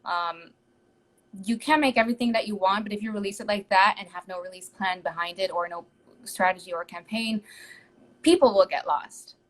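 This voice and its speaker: A woman's voice: medium pitch, moderate pace, poor audio. Chatty Dutch woman singer. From Netherlands. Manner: chatty, laughs between thoughts. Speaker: guest singer. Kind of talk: casual interview over live stream.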